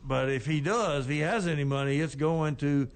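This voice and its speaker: An older man co-hosting a radio talk show, giving labor news in a plainspoken, unhurried way. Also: on the high side. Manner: plainspoken, unhurried, with frequent restarts. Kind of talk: casual labor news chat.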